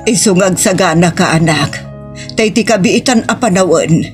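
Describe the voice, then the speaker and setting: A woman's voice: fairly low pitch, moderate pace, close to an everyday voice. A woman acting in an Ilocano audio drama, playing emotional, sorrowful family women with an Ilocano accent. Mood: tender, heavy-hearted. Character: sorrowful bride and her anxious, doting mother. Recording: music bed under the voice.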